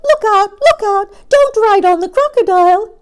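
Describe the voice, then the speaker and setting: A frantic little storybook bird. It sings out warnings very high and loud, in a shrill, urgent singsong. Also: female voice, animated, normal speed. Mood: urgent, alarmed. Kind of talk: children's storybook character voice.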